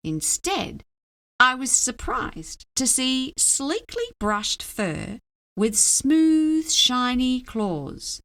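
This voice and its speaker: A woman narrating a children's story aloud in a dramatic, expressive way. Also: high-pitched, extremely slow. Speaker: storybook reader.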